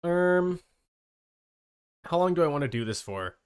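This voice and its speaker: Male streamer, clear resonant voice, loose conversational delivery that wanders as he thinks aloud. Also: on the high side, sing-song, a little slow. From Canada. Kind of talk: casual livestream chat.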